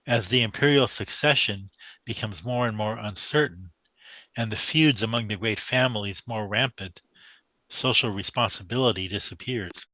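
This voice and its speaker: A man's voice: fairly low pitch, normal speed, bright, forceful tone, no room sound, poor audio. An actor playing an earnest, humble young mathematician who speaks deferentially to his mentor in a radio drama. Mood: careful reasoning.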